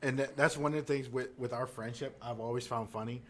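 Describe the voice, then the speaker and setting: A man with a mid-range voice, talking in relaxed casual chat. He jokes easily, and faint background noise sits under the recording. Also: medium pitch, a little fast. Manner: joking, chimes in with quips.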